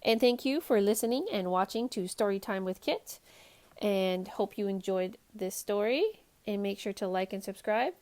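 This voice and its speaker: A woman hosting a children's read-aloud, narrating a storybook in an expressive, animated way. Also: mid-range voice, moderate pace.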